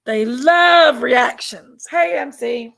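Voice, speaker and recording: A woman with a Southern American accent, raspy and congested from allergies, chatting casually on a livestream and reading out viewers' names. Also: high voice, takes it slowly, a bit bright. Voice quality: raspy, gravelly. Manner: drawling, reads out chat names. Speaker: livestream host.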